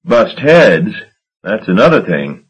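A man voicing a brutish, menacing river thug who taunts slowly. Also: lowish voice, big pitch swings, breathy edge, full character voice. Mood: taunting menace. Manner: slow, taunting, threatening. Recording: dull lo-fi recording.

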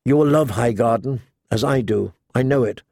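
A man's voice: mid-range voice, moderate pace, creaky, darkish tone, no room sound, clean studio sound. A man voicing a gracious, coaxing young noblewoman in an audiobook, with a courtly, inviting manner.

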